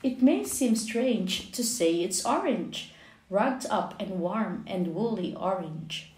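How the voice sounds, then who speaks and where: Slow, echoey woman reading a storybook. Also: mid-range voice, lively intonation, a little mellow, a little hiss or hum. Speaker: children's book reader, channel host. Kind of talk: storybook read-aloud for young children.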